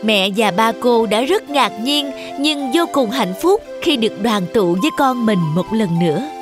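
A woman narrates a children's fairy tale in a fairly high voice. The delivery is scripted storytelling, with music playing underneath. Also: expressive, unhurried.

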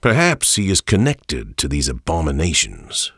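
An actor playing a grave, scholarly chronicler of monsters, reading journal narration formally in a horror video game. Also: male voice, a bass voice, huge pitch swings, creaky, a bit bright, slightly rough, no echo, studio quality, full character voice. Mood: grave, ominous. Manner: formal, ominous, measured.

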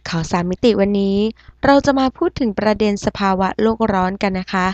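A woman's voice: medium pitch, brisk, darkish tone, no room sound, muffled recording, close to an everyday voice. A woman playing a formal, composed television news anchor in a cartoon, reading a scripted explanatory report.

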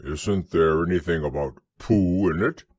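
A man voicing a proud, simple-minded, earnest storybook bear with a growly voice and slow, deliberate speech. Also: low voice, darkish tone, slightly rough, dry recording. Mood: puzzled.

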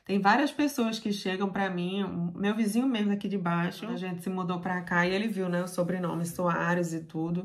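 A woman in her thirties from Brazil with a Brazilian Portuguese accent, telling stories to camera in a small room at home, chatty and animated. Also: lowish voice, moderate pace, few pauses. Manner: chatty, animated, acts out others' lines in a raised voice. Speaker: vlogger. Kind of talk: casual storytelling to camera.

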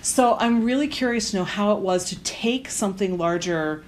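A woman's voice: medium pitch, moderate pace. A woman interviewing someone casually, with a curious, agreeable, conversational manner.

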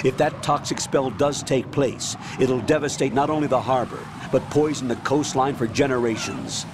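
An actor playing a stern older colonel in a video game, giving orders with clipped authority. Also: male voice, mid-range voice, normal speed, background noise, lightly acted. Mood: firm briefing. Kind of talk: video game cutscene acting.

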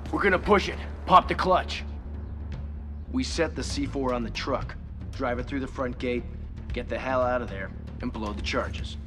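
Man playing focused soldier, loud music behind. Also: mid-range voice, moderate pace, close to an everyday voice. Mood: focused, businesslike. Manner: terse, no-nonsense, giving orders. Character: focused, commanding male soldier, tactical team leader. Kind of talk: video game cutscene acting.

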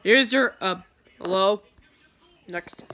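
A woman's voice: mid-range voice, slow pace, bright, forceful tone, a little hiss or hum. A woman reading a webcomic aloud and switching voices for each character, filmed in a dim room while pointing a phone at a tablet. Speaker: comic dubber.

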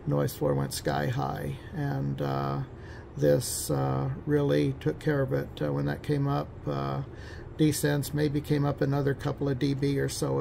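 A man giving an informal, matter-of-fact technical demonstration as a technician, speaking somewhat haltingly. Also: medium pitch, creaky, darkish tone, slight background noise.

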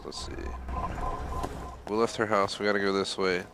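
A man's voice: fairly low pitch, normal speed, gravelly, background noise. A man giving casual gameplay commentary as a streamer, thinking aloud and explaining as he goes. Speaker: gaming streamer. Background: loud game music.

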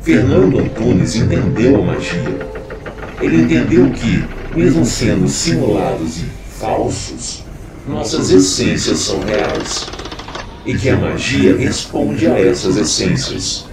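A man's voice: lowish voice, sing-song, slow pace, over a noisy crowd, full character voice. An actor playing a cold, scheming male villain who wants to become a god, speaking in a menacing, condescending, theatrical way. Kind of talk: audio drama acting. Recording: echoey, distant, loud score.

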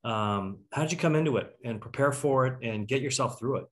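A middle-aged man hosting a talkback on a video call, haltingly repeating words as he searches for the next. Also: medium pitch. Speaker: talkback host. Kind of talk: discussion and questions on video call. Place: room at home.

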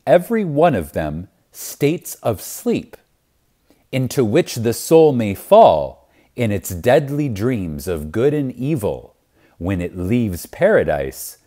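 Male lecture reader: very slow and reverent, widely varied intonation, deliberate pauses and emphasis. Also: medium pitch, warm tone. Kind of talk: scripted spiritual lecture reading.

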